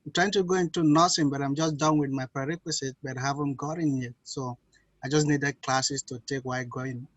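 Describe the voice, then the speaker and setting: A man's voice: medium pitch, fairly even intonation, fairly quick, dry recording. Hesitant young man. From Nigeria. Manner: hesitant, apologetic, many fillers. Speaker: student. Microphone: computer mic on video call.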